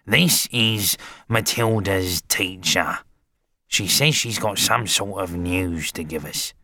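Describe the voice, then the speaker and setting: A man's voice: low-pitched, slow, crowd noise. A man voicing a rude, curt middle-aged father in an audiobook, brusque and dismissive.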